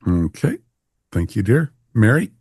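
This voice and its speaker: A man with a deep voice moderates a casual podcast panel. The sound is dry, and he talks conversationally as the host. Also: very animated, measured pace, a little mellow, husky, no room sound.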